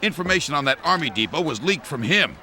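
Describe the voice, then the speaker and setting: A man's voice: on the high side, very animated, fast, bright, forceful tone, heavily acted. A man playing a serious, dependable intelligence officer in an English anime game dub, reporting in a matter-of-fact way. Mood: intent, businesslike.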